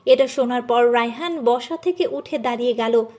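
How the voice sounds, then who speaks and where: A woman's voice: a little high, normal speed, a little mellow. A woman reading a scripted dramatic story as its narrator.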